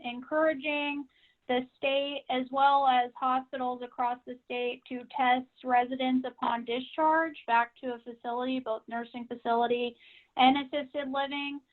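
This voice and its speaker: A woman giving a measured, businesslike informational briefing to members over a conference call, on a tinny phone line. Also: sits high. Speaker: association staff member giving member updates.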